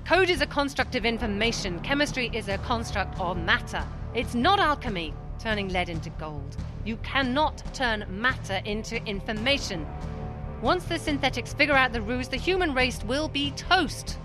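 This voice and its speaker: An actress playing a cynical, defiant doctor and former army chaplain in a radio drama, speaking in a pointed, argumentative way. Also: female voice, fairly high pitch, expressive, normal speed, music in the background, lightly acted. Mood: urgent, insistent.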